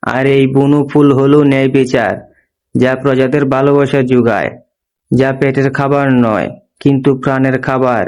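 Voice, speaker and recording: A man voicing a wise, brave young woodcutter's daughter, calm and earnest, in a cartoon. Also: mid-range voice, normal speed, clean tone, natural voice. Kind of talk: moral story cartoon dialogue.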